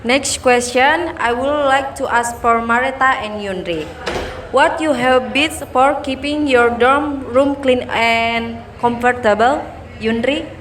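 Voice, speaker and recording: A woman's voice: sits high, slow, a bit bright, slight background noise. A young woman hosting a student talk show, reading her lines in a plain scripted way.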